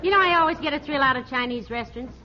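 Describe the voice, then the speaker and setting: A woman acting a good-humoured, gently teasing wife in a 1940s radio comedy, gracious and quick with dry quips. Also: high-pitched, some chatter behind, lightly acted. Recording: muffled, very noisy old radio recording.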